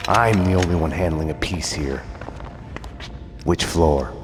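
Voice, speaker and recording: Very deep, brooding noir detective. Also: male voice, unhurried. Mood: wary, sardonic. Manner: terse, deadpan, sardonic. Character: brooding, world-weary hard-boiled male police detective. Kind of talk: noir scripted narration and game dialogue. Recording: music behind the voice.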